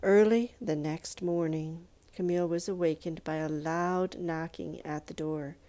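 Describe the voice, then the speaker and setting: A woman reading a children's picture book aloud in a measured, expressive storytelling manner, with pauses. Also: low voice. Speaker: storybook reader.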